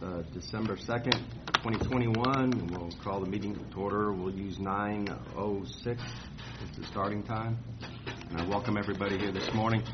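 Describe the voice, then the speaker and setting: A man chairing a formal meeting in a slow, measured, courteous way. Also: fairly low pitch. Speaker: committee chair. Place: meeting room. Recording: muffled meeting recording with hiss.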